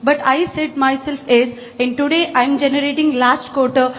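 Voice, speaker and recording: A woman's voice: high voice, steady tone, moderate pace, fairly bright tone. A woman in her twenties with an Indian accent, making an earnest, unscripted request from the audience as a startup founder. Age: 20s. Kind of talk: unscripted public request to a leader. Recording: echoey hall microphone with background noise.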